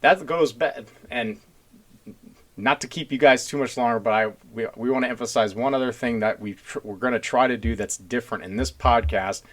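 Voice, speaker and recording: A man's voice: mid-range voice, brisk. A man hosting a casual podcast, talking in an earnest, rambling, blunt blue-collar way. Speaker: podcast host.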